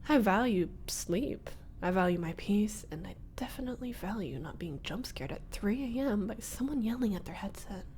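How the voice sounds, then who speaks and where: A woman's voice: medium pitch, expressive, moderate pace, a little mellow, a little hiss or hum, lightly acted. A woman voicing a flustered young former bully in a scripted roleplay audio, hesitant and wry. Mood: wry, musing. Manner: hesitant, sighing, nervous laughs, wry muttering.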